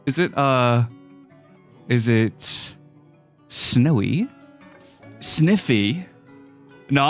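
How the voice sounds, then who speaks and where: A young man chats casually on a livestream in a silly, playful way, his voice leaping around a lot. It sits slightly on the high side and sounds a little stuffy. Faint music plays behind him. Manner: goofy, playful, silly mispronunciations and shifting voices. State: slightly sniffly, stuffy-nosed. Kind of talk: casual stream chat, greeting chat and playing a game.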